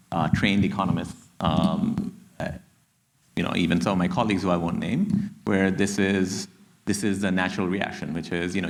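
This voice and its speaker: A middle-aged man with a Bangladeshi accent answers on a panel, his low voice steady as he explains. He keeps checking that the audience follows him, tagging points with "okay?" and "right?". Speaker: economics professor, panelist. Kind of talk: panel discussion. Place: stage at a public event.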